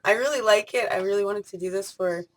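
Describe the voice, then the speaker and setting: A woman's voice: medium pitch, fast. A woman in her late twenties talking casually in a vlog, rambling with many fillers and restarts. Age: 20s. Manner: rambling, spur-of-the-moment talk with many fillers. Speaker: vlogger.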